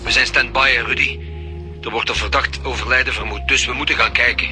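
A man's voice: mid-range voice, fairly even intonation, fast, bright, forceful tone, faint music behind. A man playing an insistent police detective. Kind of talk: radio drama acting.

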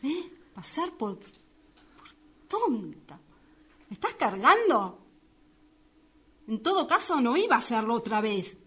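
A woman's voice: fairly high pitch, sing-song, takes it slowly, long pauses, a bit bright, slightly rough, exaggerated voice. A woman playing a domineering, short-tempered, violent woman in a radio drama, with an Argentine accent and a mocking, theatrical manner. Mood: needling, indignant. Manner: theatrical, mocking, erupting into threats.

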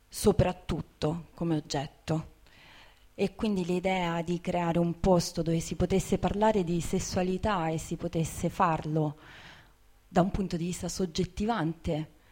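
A woman's voice: lowish voice, mellow. A woman talking informally to an audience, reflective and hesitant, repeating words as she searches for them. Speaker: bookseller, co-founder of a women's bookshop.

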